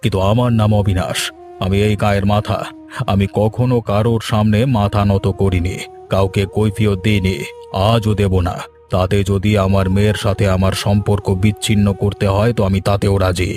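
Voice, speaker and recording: A man's voice: lowish voice, little pitch movement, normal speed, music in the background, close to an everyday voice. A man voicing a proud, stern, pompous middle-aged village headman and wronged father in a cartoon. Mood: proud, wounded. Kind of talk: cartoon voice acting.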